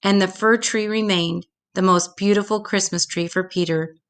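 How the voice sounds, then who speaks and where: A woman reading a children's storybook aloud expressively, with dramatic pauses. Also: medium pitch, steady tone.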